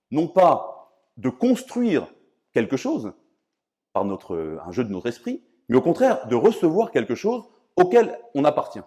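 A man from France lecturing on philosophy in a didactic, emphatic way, often pausing to search for words. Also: mid-range voice, mellow. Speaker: priest and philosophy professor giving a lecture. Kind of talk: public philosophy lecture, semi-improvised.

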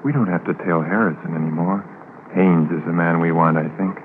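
Easygoing constable played by a man, bass voice, casual and practical, thin vintage radio sound. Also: steady tone, fairly quick, natural voice. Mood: casual, alert. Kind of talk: 1950s radio drama acting. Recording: tinny, hissy 1950s radio transcription.

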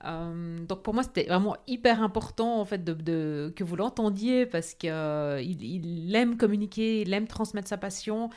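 A woman's voice: medium pitch, normal speed, hardly any pauses, darkish tone. A woman hosting a podcast, reading a scripted intro and then speaking hesitantly with many fillers. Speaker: podcast host.

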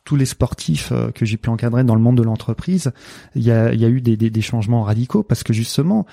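A man's voice: medium pitch, vocal fry, mellow, no echo. A man with a Marseille accent, a sports coach talking in a casual interview, hesitant with many fillers and restarts and the odd joking aside.

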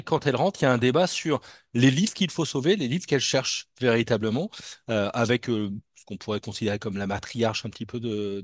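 A man interviewing a comics author, asking long measured questions with some hesitation. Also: mid-range voice, no room sound, clean studio sound.

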